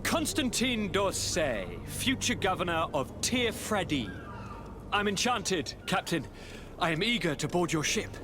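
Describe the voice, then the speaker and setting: An actor playing a cocky, theatrical young noble governor in a video game, with dramatic, grandiloquent delivery. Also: male voice, sits high, animated, a little slow, music in the background. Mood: charming. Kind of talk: video game cutscene acting.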